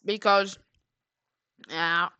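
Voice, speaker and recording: A woman's voice: medium pitch, steady tone, drawn-out words, bright, forceful tone, smooth, dry recording, dull audio. A woman livestreamer chatting casually and rambling, half muttering to herself, close to a streaming mic. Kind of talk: casual livestream chat while drawing.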